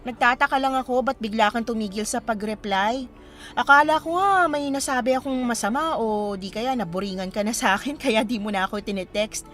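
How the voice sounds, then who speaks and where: An actress as a young woman in a radio romance drama, with a high voice and a coy, teasing manner. She speaks expressively, like a shy girl with a crush, over faint background music. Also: brisk, a bit bright, natural voice. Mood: bashful, pleased. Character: young single woman shyly smitten with a travel acquaintance.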